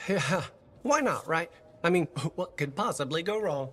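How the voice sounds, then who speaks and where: An actor playing a friendly, nervous bartender in a video game, chatty, earnest and a little hesitant. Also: male voice, fairly high pitch, lively intonation, measured pace, a bit bright.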